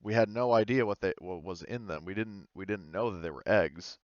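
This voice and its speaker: A man's voice: deep voice, fairly quick, dry recording, dull audio, natural voice. A man playing an apathetic, evasive male researcher who speaks hesitantly in a tabletop game. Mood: apathetic, defensive.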